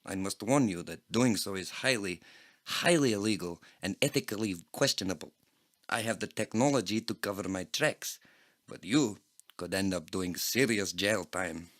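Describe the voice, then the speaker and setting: A man's voice: fairly low pitch, lively intonation, normal speed, fairly bright tone. A man voicing a surly Eastern European computer programmer in an audio play, blunt and formally worded. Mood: grave warning. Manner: blunt, unfriendly, formal wording. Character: surly Eastern European male programmer and flea-market trader.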